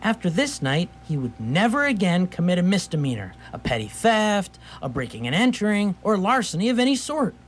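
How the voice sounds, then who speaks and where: A man playing a wry storyteller, narrating a scripted radio theatre story in a measured way. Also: high voice, very animated. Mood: wry narration.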